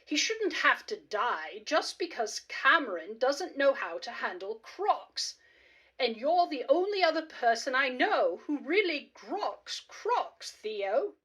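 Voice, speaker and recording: A woman's voice: high-pitched, very animated, slow pace, fairly bright tone, slightly rough, heavily acted. A woman playing a brash, determined, reckless woman zookeeper in a radio play, with an emphatic, theatrical delivery. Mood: brash, confident.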